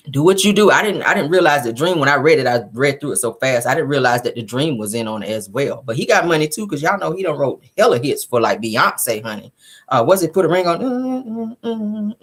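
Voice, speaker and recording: A woman's voice: on the low side, husky. A woman hosting a casual gossip show, sassy and colloquial, repeating phrases for emphasis. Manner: sassy, animated asides. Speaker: online show host. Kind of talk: casual celebrity gossip commentary.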